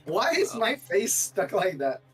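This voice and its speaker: Higher-voiced man joking on a livestream. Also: sing-song, unhurried, recorded from a distance, a loud crowd. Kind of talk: casual livestream chat among friends.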